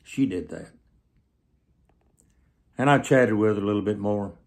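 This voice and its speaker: A man in his seventies preaching through personal stories, speaking earnestly to camera with emphatic, drawn-out phrases. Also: on the low side, lively intonation, gravelly, darkish tone. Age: 70s. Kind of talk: sermon storytelling told to camera. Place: small plain indoor room, seated.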